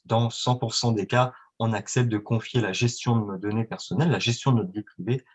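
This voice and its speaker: A man's voice: fairly low pitch, fairly even intonation, fairly quick. A man explaining things at length as an interview guest, in a didactic, promotional way with rhetorical questions. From France or Italy, Franco-Italian. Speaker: guest, marketing agency representative.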